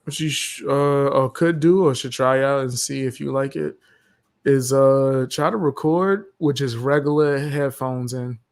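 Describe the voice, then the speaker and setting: Gravelly laid-back man chatting. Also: mid-range voice, fairly even intonation, unhurried. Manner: laid-back, swears freely, slangy. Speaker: streamer. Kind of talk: casual chat about recording.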